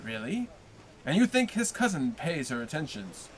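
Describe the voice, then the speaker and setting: A man's voice: on the high side, very animated, normal speed, rough recording. Man voicing sly, scheming Danglars. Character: scheming jealous male ship's officer.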